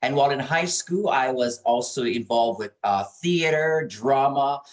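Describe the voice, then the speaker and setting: A middle-aged or older man from Hawaii telling stories as an interview guest, expressive and given to repeating words for emphasis. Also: medium pitch, measured pace. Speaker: interview guest, performer and producer. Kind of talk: casual interview storytelling.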